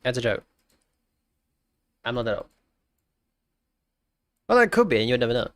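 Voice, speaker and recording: A man's voice: medium pitch, very animated, moderate pace, no echo. Man, early twenties, live-stream chat, blocked nose, joking, mumbly asides, dry sound. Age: 20s. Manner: joking, greets chat warmly, trails off into mumbles.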